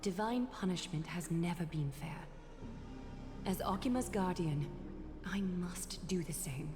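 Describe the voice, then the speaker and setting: A woman voicing a stern, regal female guardian who speaks in a formal, measured way. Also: on the low side, lively intonation. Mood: solemn. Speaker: guardian judging outsiders. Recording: game audio with reverb and music.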